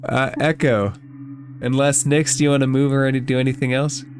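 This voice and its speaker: A man's voice: mid-range voice, level tone, fairly quick, slight background noise. A man acting as game master, narrating a tabletop game and talking through rulings aloud. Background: background music.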